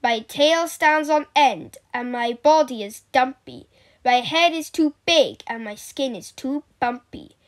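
A woman's voice: high voice, unhurried. A woman voicing a dumpy, bristly warthog who boasts in rhyme. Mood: boastful. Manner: boastful, rhyming.

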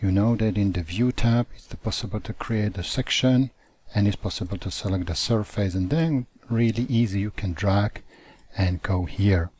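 A man who does not speak natively, teaching CAD software in a halting, careful way over a screen recording. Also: lowish voice, husky. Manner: slow, halting phrasing with non-native grammar.

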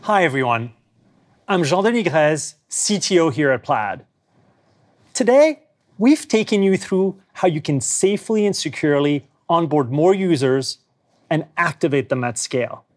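A middle-aged man giving a measured, confident scripted keynote as a company executive. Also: fairly high pitch, animated, dry recording, clean studio sound. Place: studio set.

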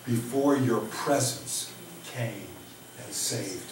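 Echoey, slow-paced American male preacher. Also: mid-range voice. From Oklahoma, United States. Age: middle-aged. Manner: halting, reflective, with repeated words and emphatic pauses. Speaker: pastor preaching. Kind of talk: informal sermon to a congregation. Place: small church sanctuary, indoors. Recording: echoey church, distant microphone, faint noise.

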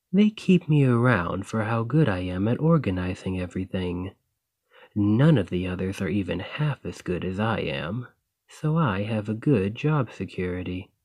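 A man playing a gentle, bookish, lovestruck dragon librarian in a romantic ASMR roleplay, speaking softly and earnestly with a slightly shy manner. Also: lowish voice, no room sound, exaggerated voice. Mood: chatty, fond.

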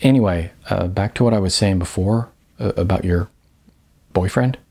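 A man voicing a shy, awkward writer in a storybook reading, halting and stammering as he talks. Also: sits low, creaky, darkish tone, slightly rough, heavily acted. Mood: nervous, hopeful.

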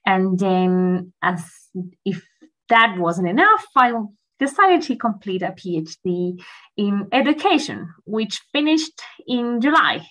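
A woman's voice: medium pitch, very animated, takes it slowly, bright, forceful tone, low-quality recording. A woman from Chile in her thirties giving a friendly, chatty guest lecture over a video call, with frequent ums. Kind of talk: online academic presentation.

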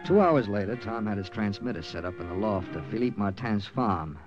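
An actor playing a terse, commanding American wartime intelligence captain with an American accent in an old-time radio drama. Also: male voice, lowish voice, lively intonation, fairly quick, dull audio, lightly acted. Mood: tense narrating. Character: hard-boiled American OSS captain narrating his wartime mission. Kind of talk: 1940s-50s radio drama narration and acting. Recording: vintage radio drama with music.

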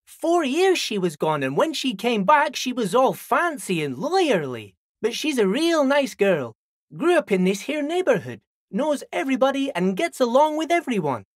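A man's voice: very high voice, wildly swooping pitch, moderate pace, no echo, clean studio sound, exaggerated voice. An actor playing a friendly, simple-minded old dockworker in a video game, folksy and rambling, repeating himself as he chats. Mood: friendly, chatty. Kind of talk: video game character dialogue.

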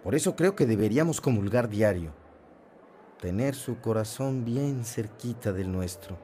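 An actor playing a warm-hearted, earnest young seminarian in a radio drama, with a persuasive, urging manner. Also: male voice, on the low side, expressive, moderate pace, a little hiss or hum, close to an everyday voice.

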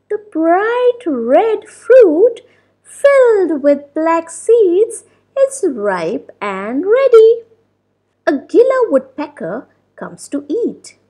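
A woman narrating a children's storybook aloud, with deliberate, expressive phrasing and drawn-out words. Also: high voice.

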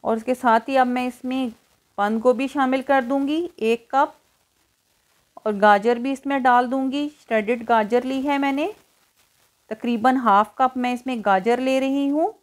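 A woman presents a cooking tutorial as a voice-over. Her voice sits on the high side. She talks in an instructional manner, taking the listener through the method one step after another. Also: a little slow. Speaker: cooking show host.

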